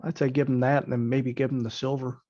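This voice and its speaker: A man's voice: medium pitch, level tone, fast, mellow. A man talking casually as a tabletop game player, on a dull, lo-fi recording. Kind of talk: casual chat. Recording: dull lo-fi close dry sound.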